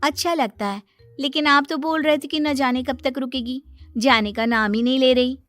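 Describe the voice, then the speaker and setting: A woman's voice: sits high, normal speed, fairly bright tone, no echo, soft background music. A woman voicing a naive, blunt, truthful young housewife in a cartoon story, with an innocent, apologetic manner.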